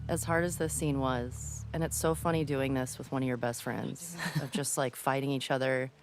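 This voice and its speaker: A woman's voice: a bass voice, steady tone, normal speed. A woman chatting casually and animatedly as an actor giving commentary on her work. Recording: faint background noise.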